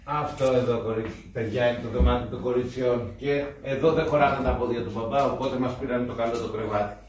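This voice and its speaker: A man's voice: mid-range voice, fairly even intonation. A man in his thirties talks casually to viewers on a family house tour, explaining as he goes. His voice comes from a little distance in a roomy, echoing space, with faint noise. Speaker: father in a family travel vlog. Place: small stone-walled holiday apartment. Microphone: camera mic from a few steps away.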